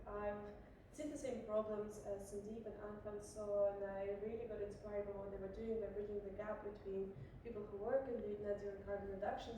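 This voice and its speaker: Woman, from Russia, flat even tone, conversational, very echoey room, far mic, background noise. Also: medium pitch. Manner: conversational, slightly halting. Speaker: sustainability consultant, team lead. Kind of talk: short self-introduction at an event.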